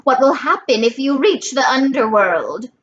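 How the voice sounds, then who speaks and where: A woman voicing an ancient female monster in a storybook who poses as a kindly grandmother, slow and purring with menace underneath. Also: sits high, very animated, lo-fi sound. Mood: coaxing menace. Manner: slow, purring, coaxing, menacing when angered.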